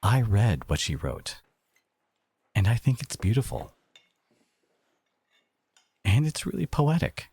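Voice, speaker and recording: Guy acting as protective boyfriend for asmr roleplay, really animated pitch, close dry recording, earnest and a bit sarcastic. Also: slow, vocal fry, long pauses, husky, no room sound, heavily acted. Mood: proud, tender. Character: devoted, protective boyfriend confronting his partner's family, adult man.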